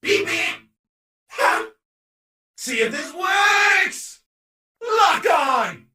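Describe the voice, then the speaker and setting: A cocky game villain with a very high, swooping voice. The showman is hyperactive and theatrical, throwing out brash taunts. It sounds roomy, with an echo around every shout. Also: big pitch swings, drawn-out words, recorded from a distance, exaggerated voice. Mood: boastful, excited. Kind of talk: exaggerated video game character voicelines.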